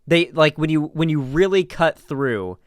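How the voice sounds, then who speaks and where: A man's voice: a little high, lively intonation, unhurried, dry recording, studio quality. A man with an American accent giving casual, opinionated reaction commentary, speaking emphatically and informally. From Florida, United States. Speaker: political commentary streamer.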